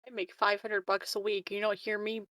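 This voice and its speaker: A woman's voice: mid-range voice, flat delivery, fairly quick, sharp tone, no echo. A woman playing a tabletop game, teasing the others in casual banter.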